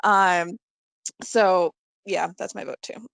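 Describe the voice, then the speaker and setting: A woman's voice: fairly low pitch, steady tone, slow, vocal fry, fairly bright tone, dry recording. A woman guest on a podcast chatting casually in an informal, giggly way. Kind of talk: casual chat.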